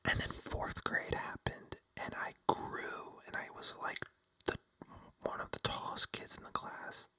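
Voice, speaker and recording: A vlogger telling a rambling, casual story in a breathy ASMR whisper, full of fillers like "like" and "you know.". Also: a little slow, pushed and bright. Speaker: vlogger addressing viewers.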